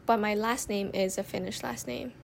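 A woman's voice: mid-range voice, steady tone, moderate pace, creaky, slight background noise. A young woman from Thailand, chatting casually on her own podcast and answering listener questions, rambling with lots of fillers and restarts into a phone mic in her bedroom. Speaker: podcast host. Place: bedroom, by the window.

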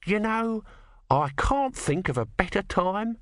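A man's voice: high voice, sing-song, measured pace, fairly bright tone, no room sound, clean studio sound, voice pushed up, heavily acted. A man voicing a bossy, cynical small creature who is sardonic, evasive and pragmatic in an audiobook story. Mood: callous, persuasive.

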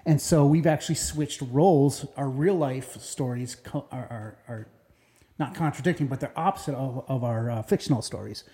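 A man's voice: mid-range voice, normal speed, dark tone. A man from northern Minnesota in casual unscripted discussion, thinking aloud with a hesitant delivery. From northern Minnesota, Iron Range. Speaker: co-creator and actor of a fictional small-town show.

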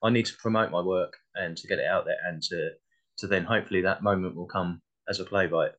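A man's voice: sits low, fairly even intonation, fast talker, fairly bright tone. A man speaking as an interview guest in a casual, modest and reflective way. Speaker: interview guest, playwright and actor.

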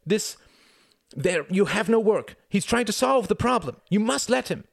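A man voicing a desperate young man, urgently shouting and pleading for his brother. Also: a little high, moderate pace, no echo, clean studio sound, voice pushed up, lightly acted. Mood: frantic pleading.

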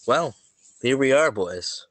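A man's voice: medium pitch, animated, takes it slowly, fairly bright tone, dry recording. A man with a stuffy, sniffly voice, running a tabletop game as game master and giving a recap in a loose, joking way. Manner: loose, joking, with frequent restarts. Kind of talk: casual chat and recap narration.

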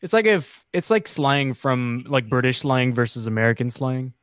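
A man's voice: mid-range voice, moderate pace, a bit bright, clean tone, no echo, studio quality. A man guest on a casual podcast, blunt and joking, full of slang.

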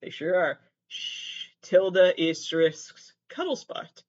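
A man giving casual comedic commentary as he reads messages aloud, halting and sweary, with exasperated asides. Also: sits high, expressive, muffled recording.

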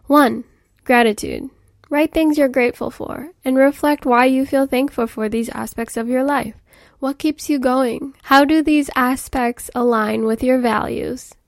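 A woman's voice: high voice, dry recording, clean studio sound. A woman in her mid-twenties hosting a podcast, chatting informally in youthful, casual phrasing. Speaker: podcast host. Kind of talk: casual rambling podcast monologue on self-care.

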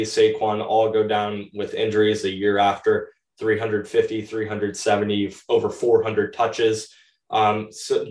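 A young man with a lowish, slightly congested voice breaks down sports picks casually as a podcast co-host. The room echoes strongly and he is some way from the microphone. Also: darkish tone. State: a little stuffed up. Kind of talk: casual fantasy football analysis. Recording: roomy echo, distant from mic.

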